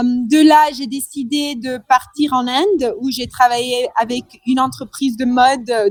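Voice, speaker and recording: A woman's voice: high voice, dry recording. A woman in her twenties from Madagascar, answering interview questions hesitantly, with many fillers and restarts and a few English terms slipped in. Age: 20s. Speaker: interview guest.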